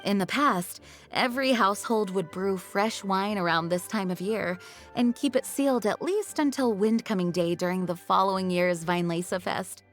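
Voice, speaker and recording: An actress playing a composed, dutiful young woman knight leader in a video game, formal, earnest and measured. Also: mid-range voice, soft background music, lightly acted. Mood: explaining. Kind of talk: video game character acting.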